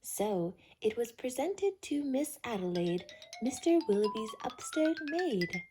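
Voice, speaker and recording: A woman reading a children's storybook aloud as host, with an expressive, theatrical, rhythmic delivery. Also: fairly high pitch, slow pace, music in the background.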